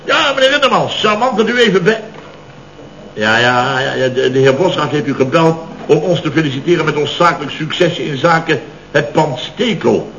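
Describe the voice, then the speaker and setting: An actor playing a blustering, middle-aged Dutch building boss in a radio comedy, indignantly spinning out long, exasperated stories. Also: male voice, a little high, expressive, normal speed, a bit bright, a little hiss or hum. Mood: strained, deferential. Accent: Netherlands Dutch. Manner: indignant, long-winded storytelling with exasperated emphasis. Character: blustering, put-upon male building contractor boss recounting mishaps. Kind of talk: 1970s radio comedy acting. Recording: dull old radio recording.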